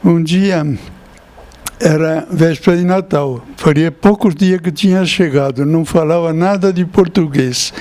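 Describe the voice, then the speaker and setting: An older Italian-born priest, a man, telling his memories slowly in an interview, with small chuckles. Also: a little high, darkish tone. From Italy. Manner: slow storytelling with chuckles.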